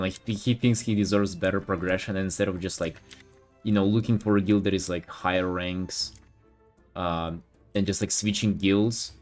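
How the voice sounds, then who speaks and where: A man who is a native Russian speaker, chatting casually as an art streamer in a halting, rambling, slangy way with some swearing. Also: deep voice. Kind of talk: casual livestream chat while painting.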